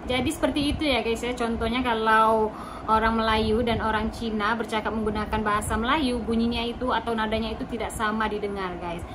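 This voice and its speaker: A woman's voice: on the high side, fairly even intonation, brisk. A woman from Indonesia chatting to her viewers in a casual explainer vlog. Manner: chatty, addresses viewers as guys. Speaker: vlogger. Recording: noisy recording.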